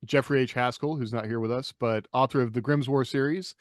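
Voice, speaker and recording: A man's voice: mid-range voice, steady tone, a little fast, no echo, studio quality. A man hosting a podcast in a casual, informal, anecdotal chat style. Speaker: podcast host.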